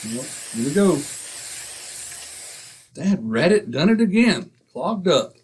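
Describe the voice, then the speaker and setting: An actor playing a boastful, wisecracking husband in a 1940s-style radio comedy. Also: male voice, on the high side, very animated, slow, slight background noise. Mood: brash. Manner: brash, wisecracking. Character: boastful, blustering middle-aged husband.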